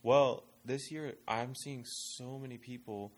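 A man's voice: medium pitch, fairly even intonation, takes it slowly. A man speaking in a casual panel discussion, thinking aloud and rambling with restarts as he shares what he has seen of young adults in churches. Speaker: ministry worker advising pastors on young adults.

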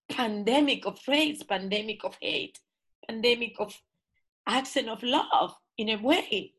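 Slow impassioned woman, English not her first. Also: high-pitched, a bit bright, husky. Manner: slow, impassioned, with rising emphasis. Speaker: artist and professor, interview guest. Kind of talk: reflective spoken essay and poetry reading.

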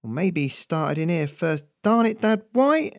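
A man's voice: fairly high pitch, very animated, a little slow, vocal fry, dry recording, clean studio sound, pitched above the performer's own voice, exaggerated voice. A man voicing a scrappy young boatman's son with a pushy, eager sales patter. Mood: grumbling. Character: young male boat kid, scrappy, eager hustler.

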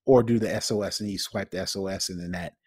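A man's voice: low-pitched, steady tone, quick pace, a little mellow, no echo, studio quality. A man co-hosting a tech podcast in casual chat, explaining things informally. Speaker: podcast co-host.

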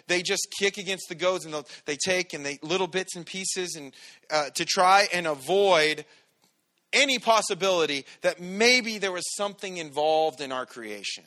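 A man delivers a sermon with a loud, commanding voice. His tone is bright and forceful and sits a bit high for a man. He keeps it lively and conversational. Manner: energetic, conversational preaching. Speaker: pastor.

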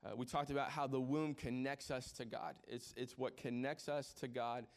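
A man's voice: mid-range voice, steady tone, moderate pace. A man in his forties preaching a sermon as pastor, conversational and joking with the congregation, often repeating words as he restarts. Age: 40s.

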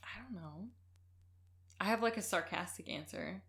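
A tipsy woman with a mixed Michigan and Upper Midwest accent, chatting on a podcast. She is animated and sarcastic, laughs often and swears. Her tone is bright and sharp, with a faint hiss behind her. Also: mid-range voice, normal speed, vocal fry. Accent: Michigan and Upper Midwest mix. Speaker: podcast co-host. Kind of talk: casual chat.

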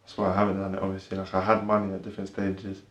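A young man with a low, level voice speaks hesitantly as a podcast guest, trailing off and filling gaps with "like". He was born in Ireland and grew up in the UK. The sound is echoey, as if recorded in a bare room. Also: monotone, racing, lo-fi sound. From born in Ireland, raised in the UK. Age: young adult. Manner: hesitant and soft-spoken, many fillers. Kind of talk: casual conversation.

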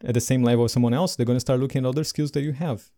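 Male podcast co-host, non-native English, mellow tone, animated delivery. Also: medium pitch, very fast. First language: not English. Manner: animated, laughs between points. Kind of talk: casual podcast chat.

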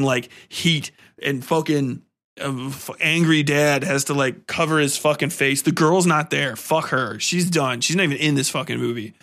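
A man hosting a podcast, giving a casual, rambling, slangy film review full of swearing and animated, dramatic storytelling. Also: medium pitch. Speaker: podcast host.

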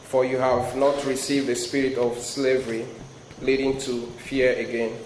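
A man's voice: mid-range voice, flat delivery, moderate pace. Nigerian-accented man preaching in an echoey hall. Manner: hesitant, frequent ums and restarts. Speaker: guest speaker. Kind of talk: sermon with scripture reading and prayer. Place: large hall before a youth crowd. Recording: echoey room with noise.

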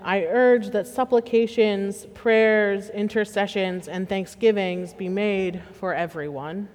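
A woman from Western Pennsylvania reading scripture and preaching a sermon in a measured, plainspoken way with gentle humor. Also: mid-range voice. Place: church sanctuary. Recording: long reverb of a church sanctuary.

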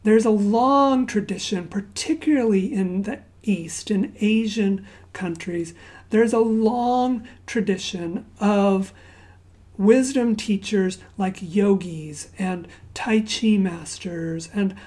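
A woman raised in Zambia giving a sermon. She opens with a quiet prayer and then tells stories conversationally, often dropping to a hushed near-whisper. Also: mid-range voice, takes it slowly. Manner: conversational storytelling with fillers and asides. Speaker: pastor.

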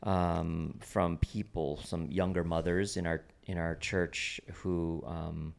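Guy w a super low gravelly voice, keeps restarting, asks u know what im saying. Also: level tone. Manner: halting, restarts phrases, checks for agreement. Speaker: interviewer. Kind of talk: conversational interview.

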